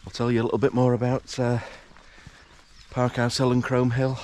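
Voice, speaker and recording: A man narrating a morning countryside walk in a relaxed, hesitant way, full of fillers, his voice a little croaky from just waking up. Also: medium pitch, moderate pace, slight background noise. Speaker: hiking vlogger. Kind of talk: casual walking commentary. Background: rural outdoor sounds, animals.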